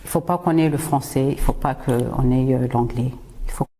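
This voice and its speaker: A woman's voice: extremely low pitch, dark tone, big-room sound, poor audio. A woman whose first language is Swahili hosts a solo podcast, speaking in an earnest, rallying way with many hesitations and repeated words. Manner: slow, halting delivery with frequent "euh" and repeated words; impassioned, rallying. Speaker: podcast host.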